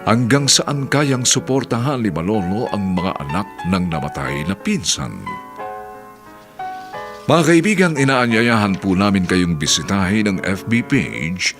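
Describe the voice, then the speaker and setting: Slow, gravelly male radio drama announcer. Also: on the low side, sing-song, over music.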